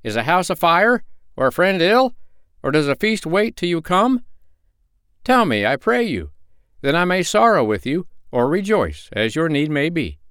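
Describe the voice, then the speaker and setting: A man's voice: a little high, sing-song, normal speed, a bit bright, clear, resonant tone, no room sound, clean studio sound, voice pushed up. A man voicing a solemn, gloomy male wayfarer in a bedtime story, speaking in a mournful, old-fashioned way.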